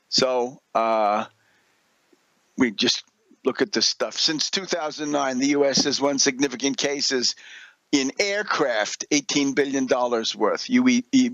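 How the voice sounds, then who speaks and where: A man giving unscripted political commentary as a radio host, combative and sarcastic, halting and correcting himself, often firing off rhetorical questions. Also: mid-range voice, a bit bright, no echo, dull audio.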